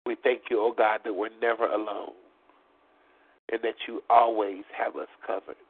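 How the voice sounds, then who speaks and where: A man's voice: lowish voice, little pitch movement, normal speed, slightly rough. A man preaching at a live church service, praying in rhythmic repeated phrases and joking with the congregation, his voice stuffed up. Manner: chatty and joking between songs. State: congested, stopped up. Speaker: prophet and preacher. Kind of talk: fervent live church prayer and exhortation. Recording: tinny phone-like livestream audio.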